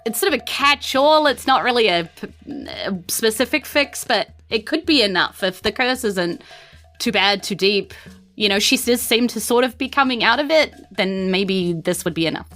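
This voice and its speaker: A woman improvising narration as a game master in a casual, halting way. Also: on the high side, lively intonation, fairly bright tone, soft background music.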